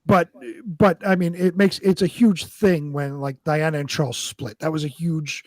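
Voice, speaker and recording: A man's voice: a little high, fairly even intonation, normal speed, a little mellow, dry recording, studio quality. A man speaking as a talk show panelist, chatting casually and swearing freely. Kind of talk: casual, profane panel chat.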